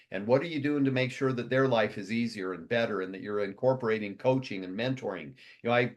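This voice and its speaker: Fast-talking American CEO rallying members. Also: male voice, mid-range voice. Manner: fast, rambling delivery with restarts and lists. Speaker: company CEO addressing members. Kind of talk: motivational company address.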